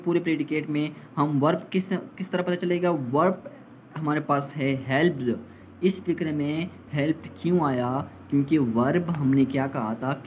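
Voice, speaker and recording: A man's voice: a little high, a little mellow, slight background noise. A man giving an explanatory grammar lesson as a teacher, repeating points and posing questions that he answers himself. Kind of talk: explanatory lesson, translating examples.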